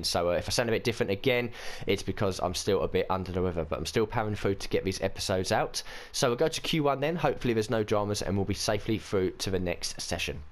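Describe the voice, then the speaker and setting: Hoarse low-voiced man, fast gaming chat. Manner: chatty, thinks aloud with many uhs. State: hoarse and croaky from a cold. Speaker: gaming channel host. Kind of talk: casual let's-play commentary.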